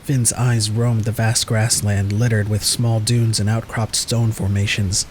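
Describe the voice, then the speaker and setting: A man's voice: lowish voice, level tone, measured pace, a little hiss or hum. A man reading scripted dramatic narration as the narrator of an audio story.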